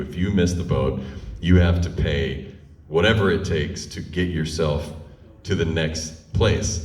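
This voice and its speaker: A man telling a personal story in a conversational, comic style, with dramatic pauses and animated retelling. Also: sits low. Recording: roomy echo, distant microphone.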